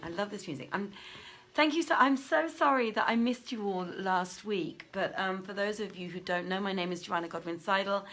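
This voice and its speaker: A woman's voice: medium pitch, a bit bright. A woman chatting casually and effusively as the host of an online story reading, speaking as a theatre director. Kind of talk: casual livestream chat.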